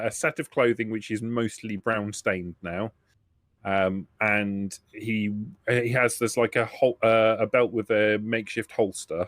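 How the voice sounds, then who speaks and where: A man improvising narration as game master of a tabletop game, halting and rephrasing himself as he goes. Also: fairly low pitch, fairly bright tone, no room sound.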